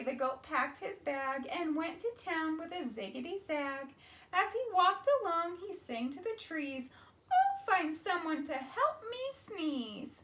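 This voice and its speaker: A woman reads a children's story aloud like a teacher, with expressive emphasis. Her voice is high and bright, and she takes her time with each line.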